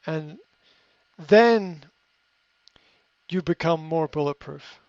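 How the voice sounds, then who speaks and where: A man giving unscripted coaching advice in a reflective way, often pausing with fillers and restarting his sentences. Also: a little high, darkish tone, dry recording. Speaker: coach answering a question. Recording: dry, slightly muffled recording.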